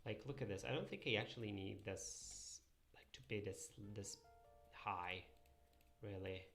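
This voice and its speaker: A man's voice: fairly low pitch, a little slow, gravelly, fairly bright tone, faint music behind. A young man whose first language is Italian, casually thinking aloud and answering live chat while he draws pixel art. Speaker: streamer drawing pixel art.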